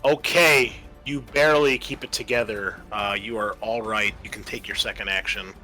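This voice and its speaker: A man's voice: on the low side, steady tone, moderate pace, sharp tone, faint music behind, poor audio. A man narrating and refereeing a tabletop roleplaying game as game master in a conversational, explanatory way.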